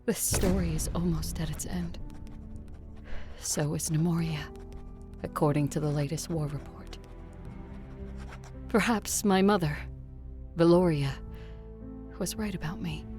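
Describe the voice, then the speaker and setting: An actress playing a proud, weary vampire ruler who narrates her story gravely and with measured care in an audio drama. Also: sits low, steady tone. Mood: solemn, urgent. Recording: music under the voice. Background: music.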